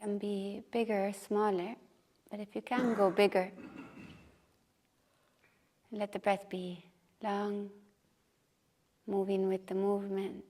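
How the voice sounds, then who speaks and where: A woman teaching meditation and gentle movement, guiding in short, unhurried phrases with pauses. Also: mid-range voice, flat delivery. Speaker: taiji and qigong meditation teacher. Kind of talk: guided meditation and movement instruction. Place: retreat session, live group class.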